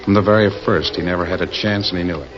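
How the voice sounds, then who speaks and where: An actor playing a grave, theatrical narrator of a 1940s radio western serial, telling the story solemnly. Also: male voice, deep voice, steady tone, quick pace, over music, natural voice. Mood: grave, suspenseful. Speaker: narrator and announcer. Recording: muffled 1940s radio recording.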